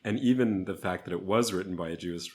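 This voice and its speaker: A man interviewed as a theatre artist, talking reflectively in a measured, slightly hesitant way. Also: low-pitched, a little mellow.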